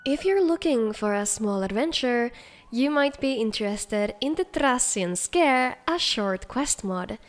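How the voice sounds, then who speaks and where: A woman's voice: on the high side, expressive, a little slow. A woman hosting a video, reading scripted showcase narration. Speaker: video host. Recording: faint music under the voice.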